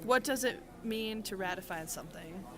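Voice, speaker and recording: A woman's voice: medium pitch, moderate pace. A young woman interviewing people in a casual quiz, asking questions in an informal, giggly way. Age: young adult. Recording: crowd chatter behind, on location.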